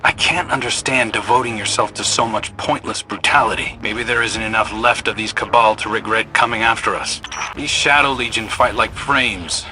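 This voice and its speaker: A man's voice: lowish voice, normal speed, background noise, natural voice. A man playing a no-nonsense military operator in a video game, giving clipped, businesslike mission updates. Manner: clipped, businesslike, plain-spoken. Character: no-nonsense male military pilot and tactical operator. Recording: faint music behind the voice.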